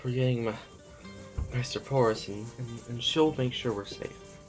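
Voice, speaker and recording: A man's voice: medium pitch, steady tone, moderate pace, loud music behind the voice, close to an everyday voice. An actor playing an anxious, frightened nobleman in an audio drama, stammering and urgent as he speaks. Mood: weary, resigned. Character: anxious, frightened male nobleman fleeing a violent uprising.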